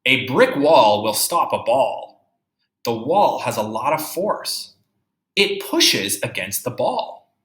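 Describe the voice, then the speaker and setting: A man teaching young children through a read-aloud, reading deliberately and musing aloud with questions for his pupils. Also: mid-range voice, very animated, fairly bright tone. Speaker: third-grade teacher. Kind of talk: read-aloud lesson with think-aloud commentary. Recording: roomy, echoey indoor sound.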